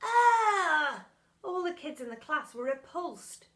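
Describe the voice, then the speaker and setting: Bright, dramatic woman reading a storybook. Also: high voice, expressive, normal speed. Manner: dramatic, theatrical. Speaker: primary school storytime reader. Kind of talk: expressive children's storybook narration.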